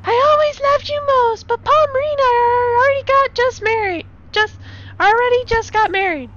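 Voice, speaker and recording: Woman doing a squeaky melodramatic young bride. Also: normal speed, lo-fi sound, noisy background. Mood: sweet, regretful. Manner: exaggerated, melodramatic.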